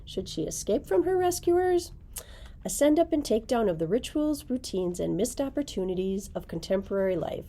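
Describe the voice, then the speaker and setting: A woman's voice: on the high side, very animated, normal speed, mellow. A middle-aged woman librarian presenting book picks, reading blurbs aloud in a library room. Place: indoors, library room with bookshelves.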